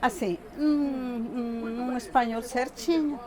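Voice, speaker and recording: An older woman from Bolivia with a Bolivian accent, talking haltingly in an interview, often repeating words and adding tag questions. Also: a little high, steady tone, a crowd in the background. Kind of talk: casual interview talk.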